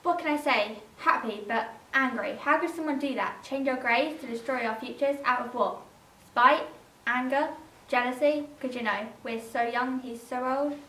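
A woman's voice: high-pitched, moderate pace, sharp tone, far from the mic. A young woman playing a cocky, indignant student, sassy and self-assured, in a scripted mockumentary drama. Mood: indignant, smug. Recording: roomy, slightly noisy, off-mic.

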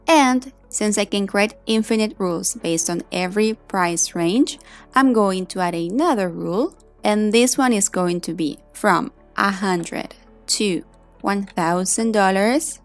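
A woman narrating a software tutorial in an instructional way, explaining step by step with casual check-ins. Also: medium pitch. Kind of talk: instructional screen-recording narration. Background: background music.

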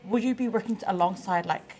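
A woman's voice: medium pitch, fast talker. A woman, hosting a casual studio chat with many fillers, close to a desk mic. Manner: warm, chatty, many fillers. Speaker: talk show host. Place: small indoor studio. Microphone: headset with desk mic.

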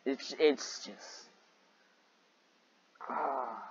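A man's voice: mid-range voice, very slow, no room sound. Exasperated, sarcastic man on a muffled mic. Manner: exasperated, sarcastic, sighing asides; frequent throat clearing. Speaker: host and reader of fan fiction. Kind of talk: read-aloud of fan fiction with sardonic commentary. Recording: dull, muffled lo-fi microphone.

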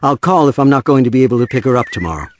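A man's voice: medium pitch, lively intonation, racing, slight background noise, lightly acted. A man playing a harried, defensive divorced father in an audio drama, weary and impatient. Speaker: voice actor.

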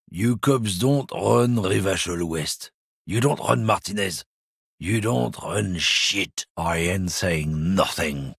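An actor playing a surly, hostile lorry driver in a video game, sneering and swearing constantly, with a husky voice. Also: male voice, lowish voice, no room sound, full character voice. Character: surly bigoted male lorry driver, middle-aged, hostile. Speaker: game character. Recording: dry close studio recording.

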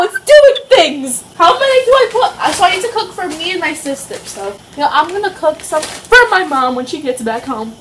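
A young woman with an excitable, childlike, playful way of talking, chatting casually as she cooks for a vlog. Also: high-pitched, a little hiss or hum, rough recording. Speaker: amateur cooking vlogger. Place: home kitchen, indoors.

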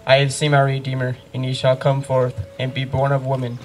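Flat-toned devout king, played by a young man. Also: mid-range voice, a little fast, slight background noise, natural voice, low-quality recording. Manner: flat, formal recitation. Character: devout king praying in fervent repentance. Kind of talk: amateur scripture pageant acting. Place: outdoor wooded stage. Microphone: handheld microphone. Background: music playing.

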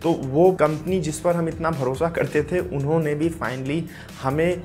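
A man's voice: a little high, fairly even intonation, unhurried, darkish tone, soft background music. A young man in his twenties hosting a tech news channel, presenting upbeat and direct to camera in a home studio, speaking on a lapel mic. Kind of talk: scripted tech news explainer. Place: indoor home studio with lit gaming setup.

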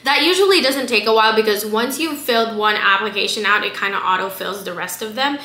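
Bright-voiced expressive young American woman. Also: mid-range voice, fairly quick. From South Florida, immigrant from the Philippines. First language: a language other than English. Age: 20s. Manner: expressive, slangy, emphatic. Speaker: vlogger. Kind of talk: casual chat to camera. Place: small bare room indoors. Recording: echoey room.

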